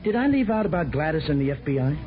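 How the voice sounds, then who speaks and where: An actor playing a meek henpecked husband, a reformed crook, with hesitant stammering speech in 1950s radio drama style. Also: male voice, on the high side, sing-song, fast talker, soft background music. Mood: chatty, reminiscing. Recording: muffled, noisy 1950s radio recording.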